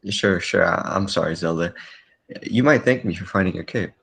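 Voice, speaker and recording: A man's voice: low voice, moderate pace, creaky, fairly bright tone, husky, exaggerated voice. A man playing a lovesick, bitter circus clown with a hesitant, stammering delivery, old radio style. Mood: wounded. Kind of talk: 1940s radio acting.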